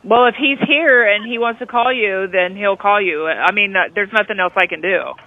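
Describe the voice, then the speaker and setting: A woman's voice: mid-range voice, moderate pace, no echo. A woman speaks over a thin, tinny telephone line. She sounds like a nurse at an intake desk, firm and matter-of-fact, keeping to procedure. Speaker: nurse, hospital intake director. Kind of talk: businesslike phone conversation. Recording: tinny, narrowband phone line.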